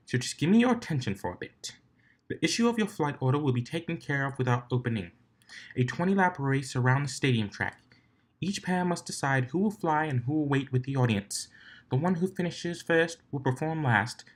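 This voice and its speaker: Guy doing a proper melodic mare pony, pitched up, polite and orderly, storybook announcer type. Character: prim, organized mare pony addressing a crowd. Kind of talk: fan fiction story reading.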